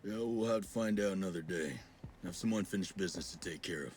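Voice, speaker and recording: A man playing a determined, loyal special forces leader, brisk and matter-of-fact, in a game cutscene. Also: deep voice, darkish tone, a little hiss or hum, lightly acted. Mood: focused. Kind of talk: dramatic video game cutscene acting.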